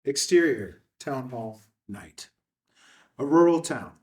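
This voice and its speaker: A man with a mid-range voice reads out screenplay directions as narrator. His phrases are measured and clipped, dropping at their ends, and he sounds a little away from the microphone. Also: mid-range voice, expressive, darkish tone, far from the mic, soft background music.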